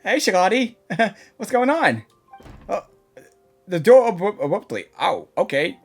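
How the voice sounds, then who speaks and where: A woman's voice: medium pitch, big pitch swings, a little slow, breathy edge. A woman streamer giving casual live reactions as she plays a game. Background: game music.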